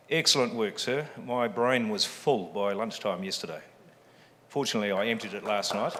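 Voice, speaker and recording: Low-voiced New Zealand man, joking. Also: fairly bright tone, slight background noise. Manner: joking, self-deprecating, many ums. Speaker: panelist, fund chief executive. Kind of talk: public forum talk. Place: large event hall. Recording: long hall reverb.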